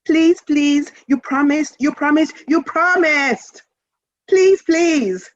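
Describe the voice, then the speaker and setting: A woman's voice: high voice, takes it slowly, lo-fi sound, pitched above the performer's own voice. A woman voicing an eager, insistent child begging over and over in a storybook reading. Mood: pleading, insistent. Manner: insistent, repetitive pleading.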